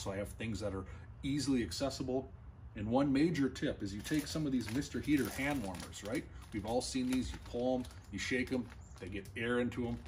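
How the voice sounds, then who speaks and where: A man's voice: mid-range voice, slight background noise. Earnest man giving gear tips. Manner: earnest, enthusiastic, repeats himself and restarts phrases. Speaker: fishing channel host. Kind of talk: casual gear-tips talk to camera. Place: indoor gear room or shop, small.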